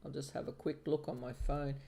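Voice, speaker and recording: A woman's voice: a bass voice, level tone, fairly quick, gravelly, warm tone. A middle-aged woman from Finland who teaches palmistry, chatting casually on her livestream in a hesitant way, with many fillers and restarts. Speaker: palmistry teacher and channel host.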